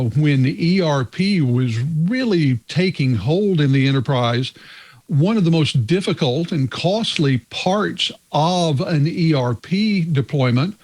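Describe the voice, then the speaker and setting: Slow-talking male podcast host. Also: fairly high pitch, darkish tone. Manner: measured, deliberate questioning. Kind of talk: hosting a tech interview.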